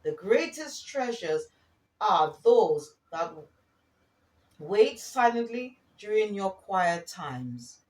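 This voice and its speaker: A woman giving a spiritual card reading in a halting manner, often checking in with the listener as she reads and improvises. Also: medium pitch, big pitch swings, darkish tone. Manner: hesitant, halting, often checking in with okay and all right. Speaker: oracle card reader and spiritual guide. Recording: roomy echo, distant from the mic.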